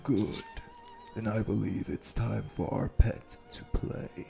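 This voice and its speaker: A man's voice: fairly low pitch, huge pitch swings, slow pace, gravelly, dark tone, faint music behind, full character voice. A man playing a cold, domineering blood-magic villain in an audio drama, clipped and imperious. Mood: sinister. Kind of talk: audio roleplay drama acting.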